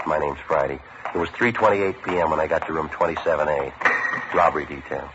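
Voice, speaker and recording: Quick, deep-voiced deadpan police detective. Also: male voice. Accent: American. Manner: deadpan, clipped, matter-of-fact. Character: terse, businesslike male police detective sergeant. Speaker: radio drama actor and narrator. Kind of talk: 1950s radio police drama acting. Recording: muffled old radio recording with noise.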